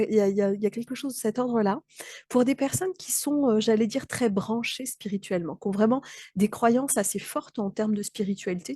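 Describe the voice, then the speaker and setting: A woman's voice: on the high side, dark tone, dry recording, clean studio sound. A woman mentor with a slight cold, explaining in a thoughtful, hesitant way with many fillers and restarts. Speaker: mentor and trainer. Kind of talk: explanatory talk to camera.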